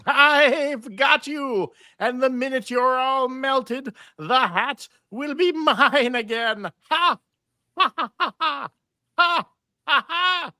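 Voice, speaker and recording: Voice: sits high, takes it slowly, pushed and bright, no echo, studio quality, exaggerated voice. A performer voicing a scheming, nasty old male magician in a hammy, taunting cartoon table read. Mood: gloating.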